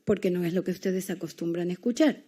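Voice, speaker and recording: A woman from Argentina with an Argentine accent, giving a university lecture with small pauses and hesitations. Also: fairly low pitch, mellow, slightly rough. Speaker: guest lecturer.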